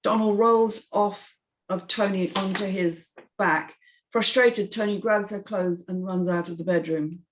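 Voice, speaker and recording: A woman's voice: mid-range voice, fairly even intonation, a little slow, slight background noise, poor audio. A woman reading screen directions aloud and moderating a script discussion. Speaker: host of script read and feedback session.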